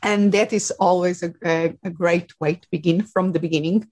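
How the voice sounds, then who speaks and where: A woman's voice: fairly low pitch, steady tone, moderate pace, a little mellow. A woman from Portugal speaking English with a Portuguese accent, a life coach answering interview questions in a reflective way, with many fillers. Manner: reflective, with frequent fillers and restarts. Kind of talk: casual interview talk.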